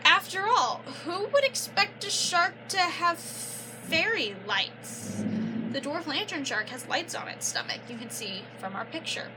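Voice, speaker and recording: A young woman reading a children's picture book aloud like a teacher, pausing to explain and prompt with questions. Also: extremely high pitch, lively intonation, noisy background. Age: young adult. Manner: instructive, prompting listeners with questions and affirmations.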